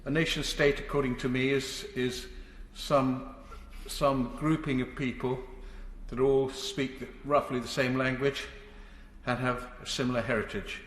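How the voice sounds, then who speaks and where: A man, a member of parliament, making an earnest, pleading intervention, halting and repeating himself. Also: medium pitch, level tone, fairly bright tone. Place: parliamentary debating chamber. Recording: echoey chamber broadcast feed.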